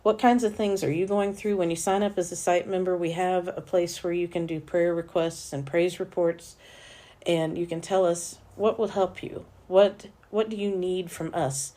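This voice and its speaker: A woman hosting a solo faith-based podcast, talking earnestly and encouragingly while hoarse from losing her voice. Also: fairly low pitch, fairly even intonation, moderate pace, warm tone. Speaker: podcast host for a small publisher.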